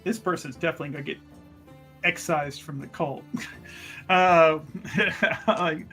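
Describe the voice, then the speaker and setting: Guy with a highish voice narrating his character in a ttrpg, repeats himself, soft music behind. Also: fairly even intonation, a little slow. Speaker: player in a tabletop roleplaying game.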